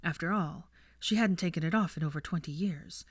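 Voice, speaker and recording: Low-voiced woman narrating a story. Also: fairly quick, slightly rough, no echo, clean studio sound. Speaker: fan fiction narrator.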